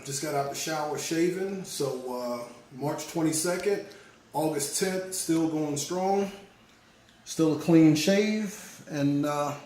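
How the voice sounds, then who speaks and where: A man's voice: a little high, darkish tone, very echoey, slight background noise. A man from Atlanta giving a loose, casual video testimonial with many uhs. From Atlanta, Georgia.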